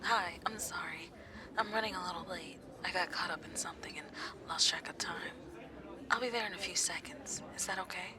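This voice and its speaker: A woman playing a shy, sweet vampire on a first date in an ASMR roleplay, soft-spoken and hesitant, often dropping to a whisper. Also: on the low side, fairly quick, lightly acted. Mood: apologetic, hushed. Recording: crowd chatter in the background. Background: crowd chatter.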